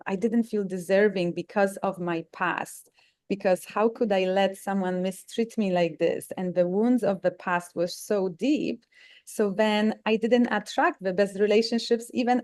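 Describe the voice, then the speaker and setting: A woman's voice: mid-range voice, darkish tone, dry recording. A woman from Poland with a Polish accent, speaking English as her second language, telling her story earnestly as an interview guest. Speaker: guest author. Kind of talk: interview conversation.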